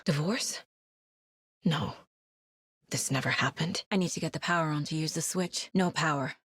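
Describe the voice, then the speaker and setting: A woman playing a determined, sharp investigator in a horror video game, measured and introspective, often as if talking to herself. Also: low-pitched, husky, no room sound, clean studio sound, exaggerated voice. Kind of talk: cinematic video game acting, naturalistic.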